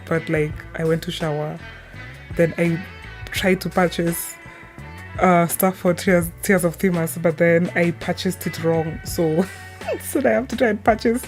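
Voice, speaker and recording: Woman w a low voice just chatting, kinda scattered and unsure, guitar music in the back. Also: measured pace. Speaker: video creator. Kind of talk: casual rambling introduction.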